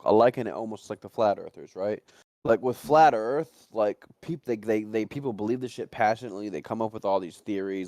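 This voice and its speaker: A man's voice: fairly low pitch, darkish tone, no room sound, clean studio sound. A man co-hosting a livestream, chatting casually about conspiracies in a rambling way and swearing often. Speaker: livestream co-host.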